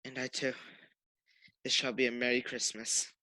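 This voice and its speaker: A young man playing a gentle, grieving father in a radio play, tender and earnest. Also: medium pitch, flat delivery, moderate pace, noisy background, natural voice. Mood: earnest, consoling. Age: young adult. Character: gentle grieving father comforting his family. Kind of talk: theatrical radio play acting.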